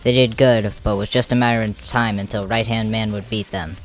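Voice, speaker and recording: An expressive storyteller narrating scripted action for an amateur comic dub. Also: mid-range voice, brisk. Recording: music under the voice.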